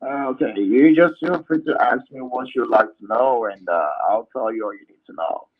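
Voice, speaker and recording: Guy on a crackly phone call, lots of uh's, voice trails off soft. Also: medium pitch, moderate pace, breathy edge. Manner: hesitant, many uh fillers, soft trailing. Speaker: scammer on a voice call. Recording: tinny phone line.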